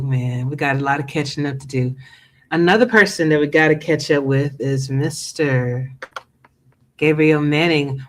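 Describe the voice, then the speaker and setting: A man hosting a casual chat show, his voice going hoarse, upbeat with many ums. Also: a little high. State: losing his voice. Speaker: podcast host.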